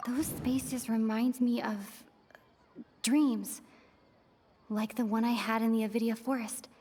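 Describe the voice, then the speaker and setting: Pensive young traveler in heavy reverb. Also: female voice, a little high, fairly even intonation, slow, darkish tone, slight background noise. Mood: pensive, realizing. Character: pensive young female traveler reasoning out mysteries. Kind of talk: video game voice acting. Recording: long reverb on the voice.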